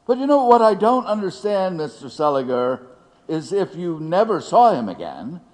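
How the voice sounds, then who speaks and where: An actor playing a dogged, sly defence lawyer in a courtroom audio drama, halting and insinuating. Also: male voice, high voice, very animated. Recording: long hall reverb.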